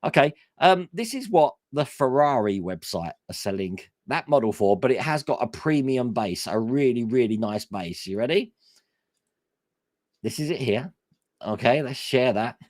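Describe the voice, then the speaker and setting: A man hosting a casual live stream, his voice hoarse and worn, chatting enthusiastically with lots of fillers. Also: medium pitch, very animated, normal speed, a little mellow, no room sound, clean studio sound. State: hoarse, voice going.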